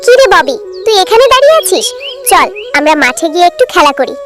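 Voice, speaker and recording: Very high-pitched pleading little girl. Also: big pitch swings, quick pace, music in the background. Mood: cheerful. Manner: pleading, childlike. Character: wistful, kind little girl from poor family. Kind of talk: children's cartoon dubbing.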